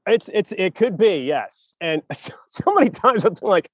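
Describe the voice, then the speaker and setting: A man's voice: high voice, very animated, a little slow, a bit bright, no room sound. A man co-hosting a casual podcast about writing, talking over a video call from a city park in a jokey, rambling way and laughing often. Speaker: co-host, writer. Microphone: video call over spotty public Wi-Fi.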